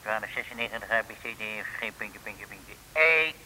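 An actor playing a pedantic, officious government clerk in an old comic radio play, speaking formally. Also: male voice, animated, brisk, bright, forceful tone, a little hiss or hum. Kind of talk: 1950s-60s Dutch comic radio play acting. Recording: old radio recording, boomy reverb.

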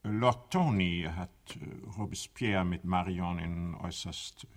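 A man's voice: low voice, slow pace, full character voice. An actor in a radio play, a man playing an uneasy, self-important American elder statesman who speaks dryly and hesitantly, hemming between phrases. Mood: embarrassed, hesitant.

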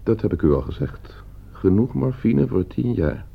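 An actor playing a coldly scheming gentleman heir in a radio play, suave, calculating and condescending. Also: fairly low pitch, sing-song, moderate pace, vocal fry, slightly rough, exaggerated voice. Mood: businesslike, menacing. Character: coldly scheming, broke gentleman heir plotting his uncle's murder. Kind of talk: Dutch radio play acting. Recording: muffled old radio play recording.

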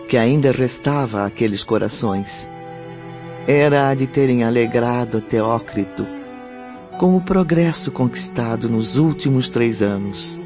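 Solemn male radio-drama narrator over music. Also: mid-range voice. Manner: solemn, literary. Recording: dull lo-fi, music behind.

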